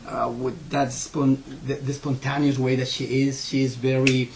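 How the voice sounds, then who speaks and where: Man, 40s, from Ecuador, heavy Spanish accent; earnest, halting interview storyteller, faint background noise. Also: medium pitch, flat delivery, a little mellow. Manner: earnest, halting with many fillers and restarts. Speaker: interviewee, school owner.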